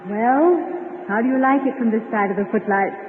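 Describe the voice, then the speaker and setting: An actress playing a witty, affectionate wife and former stage performer in an old radio comedy-drama, polite and gently teasing. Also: female voice, a little high, moderate pace, over music, lightly acted. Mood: fond, playful. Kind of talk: 1940s-50s radio comedy-drama acting. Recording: noisy, narrow-band old radio recording.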